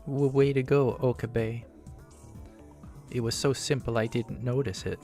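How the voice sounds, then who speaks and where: Guy doing a prickly smart science girl, a bit raised in pitch, sulky, music low behind. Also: steady tone, dark tone, close to an everyday voice. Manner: sulky, brisk. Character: prickly, clever young woman scientist.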